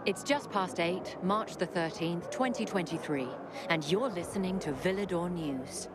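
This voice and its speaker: Woman acting a grave, low-pitched radio news anchor. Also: heavy background noise, lightly acted. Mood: formal. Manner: solemn, measured, emphatic delivery. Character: grave, principled female radio news anchor in a collapsing city. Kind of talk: scripted radio news bulletin. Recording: loud music bed under voice.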